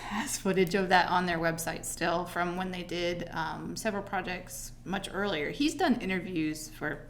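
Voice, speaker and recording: Woman, committee member, low voice, casual and halting, light hiss. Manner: relaxed, talkative, hesitant with frequent "um". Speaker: committee member for the town museum. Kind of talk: public meeting discussion.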